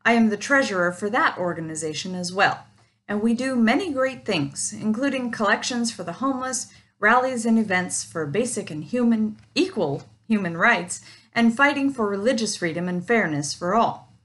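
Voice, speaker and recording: A woman's voice: medium pitch, expressive, moderate pace, fairly bright tone. A woman in her forties reading a prepared campaign speech with dry jokes, recorded on a webcam in a home office. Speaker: party treasurer candidate. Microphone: webcam at desk.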